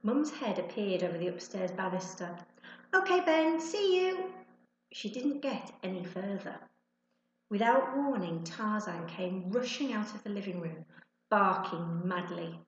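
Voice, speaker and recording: Woman teacher reading a kids story, slow n expressive, echoey room. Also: medium pitch, dull audio, slight background noise. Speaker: teacher reading to her class. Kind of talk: expressive children's storybook narration. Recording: echoey, roomy sound.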